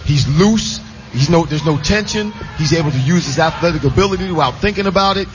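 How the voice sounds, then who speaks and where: A man on a sports radio show explaining football plays in a steady, repetitive way. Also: a little high, brisk. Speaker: football analyst. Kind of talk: casual sports radio chat. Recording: dull lo-fi broadcast with faint hiss.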